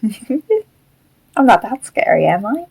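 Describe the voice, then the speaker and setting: A woman playing a gentle, troubled animatronic fox who was once human, speaking hesitantly and reflectively in an audio drama. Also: fairly high pitch, big pitch swings, slow pace, creaky, husky, exaggerated voice. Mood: lighter, friendly.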